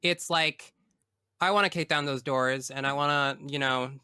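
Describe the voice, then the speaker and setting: A man talking casually and emphatically as a podcast guest, in an expressive, informal way. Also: mid-range voice, moderate pace, clear, resonant tone, dry recording, clean studio sound. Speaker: podcast guest, tabletop game podcast creator. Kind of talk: casual interview chat.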